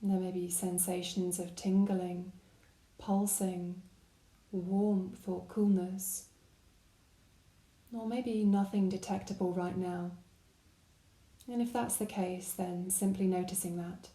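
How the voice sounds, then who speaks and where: A woman leads a guided meditation in a dark, mellow voice. Her phrasing is gentle and calm, offering unhurried instructions. The recording is roomy, with audible reflections around her voice. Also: fairly low pitch. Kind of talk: guided body scan meditation narration.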